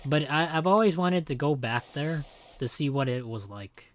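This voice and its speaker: A man's voice: a little high, animated, normal speed. A young man with an American accent, chatting casually while gaming and rambling with lots of fillers and restarts. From born in Hong Kong, raised in the United States. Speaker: streamer.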